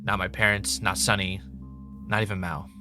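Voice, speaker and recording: A troubled man with a slightly deep voice , performed by an actor in a podcast drama. He is anxious and dryly sarcastic as he investigates, with music playing behind him. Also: flat delivery, normal speed, lightly acted. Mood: troubled, earnest. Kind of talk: audio drama acting, dramatic narration.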